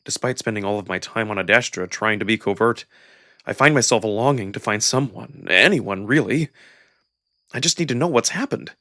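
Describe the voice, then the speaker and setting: A man giving an expressive, dramatic first-person narration of a visual novel. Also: fairly low pitch, moderate pace, no room sound, clean studio sound. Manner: expressive, acted-out delivery. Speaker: narrator and voice performer.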